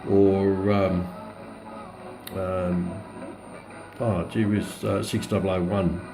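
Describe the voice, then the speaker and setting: An Australian man casually walking through a product in a hesitant, low-key, unscripted way. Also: little pitch movement, slow, over music, rough recording. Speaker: radio hobbyist presenting a demonstration. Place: indoors at home, radio on a countertop.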